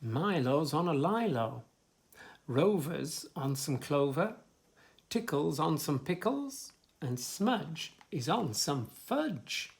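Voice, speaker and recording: A grumpy storybook cat, as a man reads it, critical of everything and demanding. The delivery is emphatic and very varied in pitch, with some gravel in it. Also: very animated, takes it slowly, full character voice. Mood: pleased.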